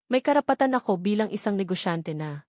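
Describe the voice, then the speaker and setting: A woman's voice: mid-range voice, animated, brisk, a little mellow, no room sound, clean studio sound, lightly acted. Woman narrating as a wealthy, insistent businessman. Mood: insistent, arrogant. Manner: pleading turning businesslike and insistent. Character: wealthy, arrogant businessman, a former lover, pressing an offer. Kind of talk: dramatic audiobook storytelling.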